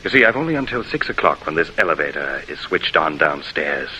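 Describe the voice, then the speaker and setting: Sharp, intense greedy radio villain. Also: male voice, very deep voice, very animated, fairly quick, lo-fi sound, exaggerated voice. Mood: polite menace. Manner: silky, mock-courteous menace. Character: polite, menacing, greedy male killer, turning frantic. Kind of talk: 1940s radio acting. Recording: hissy vintage radio recording.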